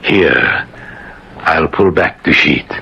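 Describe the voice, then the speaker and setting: A man's voice: rumbling low, slow, gravelly, sharp tone, exaggerated voice. An actor playing a proud, obsessive older scientist and father, declaiming grandly in a 1950s radio drama. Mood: proud, intent. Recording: muffled, noisy 1950s radio recording.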